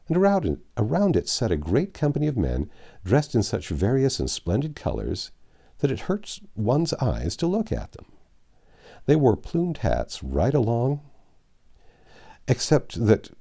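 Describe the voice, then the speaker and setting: Deep, gravelly man reading a story aloud. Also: dark tone. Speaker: audiobook narrator.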